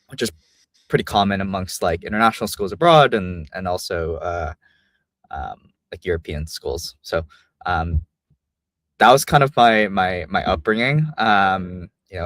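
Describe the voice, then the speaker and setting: A young man from Vietnam, speaking with an American accent as a guest on an interview show. His low-pitched voice is recorded dry and close, with no room around it. He rambles comfortably, with plenty of "um" and "like" between thoughts. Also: no echo. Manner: relaxed, many fillers like "um" and "like". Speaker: guest, company founder. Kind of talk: casual interview chat.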